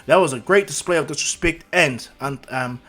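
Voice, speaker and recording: A man's voice: fairly high pitch, moderate pace, fairly bright tone. A man voicing an officious teenage boy classmate who scolds in a stiff, formal way in an anime story. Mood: reproving.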